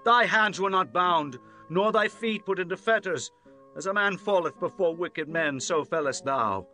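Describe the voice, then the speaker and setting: A man's voice: high-pitched, lively intonation, normal speed, sharp tone. A man playing a grave, commanding, grieving biblical king, declaiming solemnly in a dramatized scripture reading. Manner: solemn, impassioned, archaic declamation. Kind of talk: dramatized scripture, declamatory radio-play acting. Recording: music bed behind the voice. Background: instrumental music.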